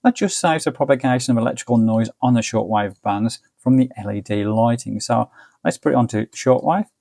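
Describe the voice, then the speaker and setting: Gravelly-voiced English man, casual. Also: mid-range voice, a little fast, warm tone, dry recording, studio quality. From United Kingdom. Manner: colloquial, easygoing step-by-step commentary. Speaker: gadget reviewer. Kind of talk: casual hands-on product review.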